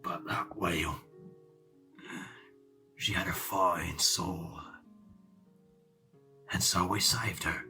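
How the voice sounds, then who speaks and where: A legendary old pirate captain deep in grief in an audio play. The voice is low and slow, hushed and breathy with sentiment, with faint music behind it. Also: male voice, heavily acted. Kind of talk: theatrical audio drama dialogue.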